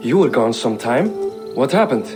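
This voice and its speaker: A man's voice: mid-range voice, big pitch swings, normal speed, lightly acted. An actor playing a brooding, resolute Norse king with a grave, clipped delivery. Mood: businesslike. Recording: music under dialogue.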